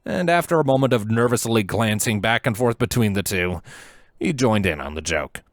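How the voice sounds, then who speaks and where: Expressive male story narrator. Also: lowish voice, normal speed, dry recording, studio quality. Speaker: fan fiction narrator.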